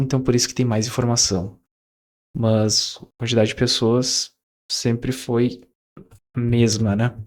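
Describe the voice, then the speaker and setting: A man, talking casually to his viewers in a reflective monologue, rambling with fillers and self-corrections. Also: medium pitch. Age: 20s. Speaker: YouTuber.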